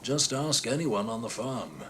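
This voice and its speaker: A man's voice: medium pitch, sing-song, a little slow, fairly bright tone. A man playing a reflective comrade in a video game, speaking naturally and fondly. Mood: fond, wistful. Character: reflective comrade fondly remembering a fallen friend. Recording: distant, noisy ambience.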